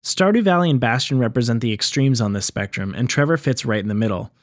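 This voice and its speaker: A man delivers scripted explainer narration as a video host. His pitch is mid-range for a man. The sound is dry, with no room to it. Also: lively intonation, quick pace, no echo. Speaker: video essay host on game sound design.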